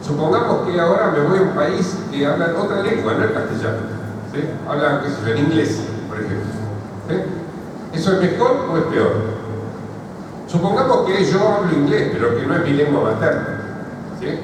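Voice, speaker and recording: A man's voice: fairly high pitch, animated, normal speed. A man with an Argentine accent giving a psychoanalysis seminar, explaining points and checking in with the audience. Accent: Argentine Spanish. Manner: explanatory, keeps asking "¿sí?" and "¿está?" to check in. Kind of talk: seminar lecture answering a question. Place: large university lecture hall. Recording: echoey hall from far off, noisy.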